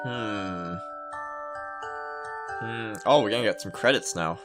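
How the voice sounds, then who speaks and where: A man's voice: fairly low pitch, slow pace. A man hosting a gaming video, reading a visual novel aloud with casual commentary. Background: game music.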